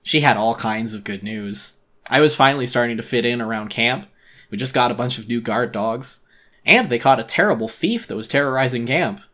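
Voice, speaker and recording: A man gives a dramatic reading of a first-person horror story. His medium-pitched voice is bright and tense, and his delivery is theatrical. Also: brisk. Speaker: narrator.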